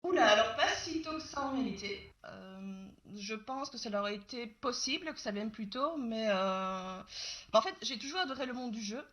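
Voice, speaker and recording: A woman in her late twenties with a light Belgian French accent, chatting as an interview guest over a video call and pausing often as she thinks. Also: a little high. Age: late 20s. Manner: relaxed, hesitant with many pauses. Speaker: interview guest, YouTube game master. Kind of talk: casual interview chat.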